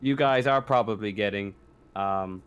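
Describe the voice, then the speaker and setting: A man running a tabletop game as game master, narrating casually in a halting, stammering way with frequent backtracking. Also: fairly low pitch, smooth, lo-fi sound.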